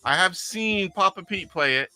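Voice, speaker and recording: A man's voice: high-pitched, lively intonation, normal speed, bright, forceful tone, faint music behind. A middle-aged man hosting a casual live stream from his attic game room, rambling and chuckling as he plays and reads viewer comments aloud. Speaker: retro game streamer host.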